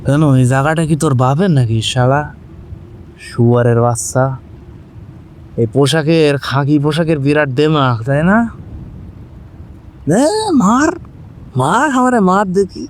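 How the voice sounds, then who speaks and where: A man's voice: fairly high pitch, animated, a little slow, long pauses, slight background noise. A man playing a menacing, foul-mouthed old holy man in an audio drama, with coarse, mocking, rustic speech. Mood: abusive, challenging. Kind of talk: Bengali audio drama acting.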